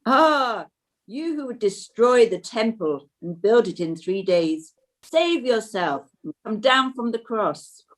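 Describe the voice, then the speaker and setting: Jeering passer-by for scripture drama, female reader: highish pitch, slow and scornful delivery. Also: lively intonation. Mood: derisive. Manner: taunting, deliberate. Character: derisive passer-by jeering at a condemned man.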